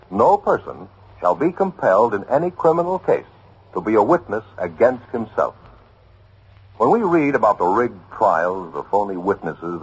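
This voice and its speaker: A man's voice: lowish voice, breathy edge, rough recording. A man reading a dramatic scripted public service message in vintage radio style, earnest and emphatic.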